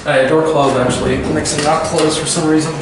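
Guest male elevator fan, relaxed and joking, picked up from off-mic in a long-ringing steel cab with loud noise. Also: a little high, a little fast. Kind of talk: casual chat. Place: inside a large steel elevator in a mall. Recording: distant, echoey and noisy elevator sound.

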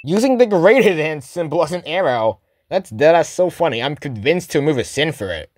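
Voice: mid-range voice, sing-song, moderate pace, a little mellow, no echo, studio quality. A commentator giving a casual, sarcastic scripted critique of a cartoon. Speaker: host, commentator.

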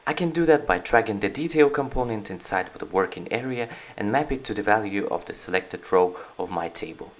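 Man narrating a software walkthrough. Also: lowish voice, fairly quick, few pauses. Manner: steady, explanatory walkthrough. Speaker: company founder presenting a product. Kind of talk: screen-recorded software tutorial. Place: voice-over on a screen recording.

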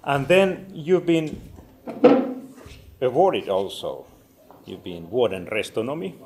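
Gravelly middle-aged man introducing a speaker. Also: mid-range voice, big pitch swings, slow pace, noisy background. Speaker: event host. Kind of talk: stage introduction. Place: lecture room with audience.

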